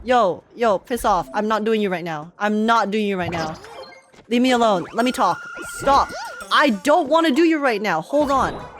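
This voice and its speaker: A woman's voice: mid-range voice, expressive, moderate pace, soft background music. Slangy woman streamer reacting to a game. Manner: slangy, emphatic, rambling. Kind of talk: casual excited live commentary.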